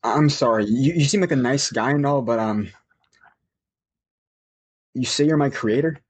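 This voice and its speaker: An actor playing a hesitant, bewildered adventure hero in an audio drama. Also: male voice, mid-range voice, unhurried, slightly rough. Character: bewildered male adventure hero meeting his creator.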